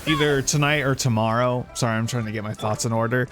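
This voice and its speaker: A man chatting casually as a game streamer, informal and rambling, often halting mid-thought. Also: medium pitch, music in the background. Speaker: video game livestreamer. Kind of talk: casual livestream chat.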